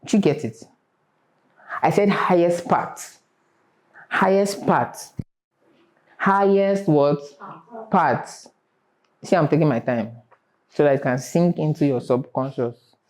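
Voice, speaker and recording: A man's voice: fairly high pitch, takes it slowly, long pauses. A man teaching a vocal class, stressing and repeating his points and singing short examples. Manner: emphatic, repeats key points, sings examples. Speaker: vocal coach.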